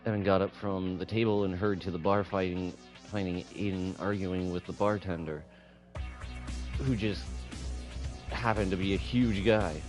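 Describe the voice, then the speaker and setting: A low-pitched man reads a visual novel aloud for his stream, with music clearly playing behind him. He sounds amused and often breaks from the text to react in an offhand way. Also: steady tone, moderate pace, a little mellow. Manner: amused, reacts and stumbles while reading. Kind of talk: live gaming stream, read-aloud with reactions.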